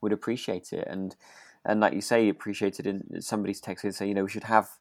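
A man co-hosting a podcast in relaxed discussion, feeling his way through sentences with halting, hesitant phrasing. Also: lowish voice, gravelly, dry recording, clean studio sound. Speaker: podcast co-host. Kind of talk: relaxed discussion of a radio drama.

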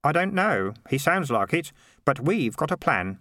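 A mild, earnest young man, eager and a little anxious, pitched well above a plain man's voice, as a male storyteller puts him on. He talks plainly and sincerely in a storybook reading. The recording is close and dry. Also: sing-song, unhurried, a bit bright, no echo. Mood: earnest, worried.

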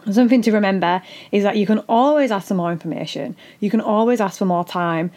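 A woman with a husky, fading voice, hosting an educational podcast and explaining things earnestly, step by step. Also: medium pitch, fast talker, a little mellow. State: husky voice after illness. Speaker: podcast host and doula. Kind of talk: solo educational podcast talk.

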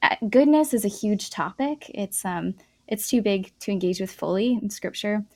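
A woman's voice: mid-range voice, lively intonation, moderate pace, darkish tone, no room sound. A woman hosting a podcast, asking questions in a conversational interview style. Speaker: podcast host.

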